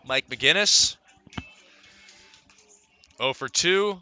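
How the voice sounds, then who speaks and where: A man's voice: fairly high pitch, lively intonation, very slow, sharp tone, dry recording, soft background music. A man calling live baseball play-by-play for radio. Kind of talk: live radio sports commentary.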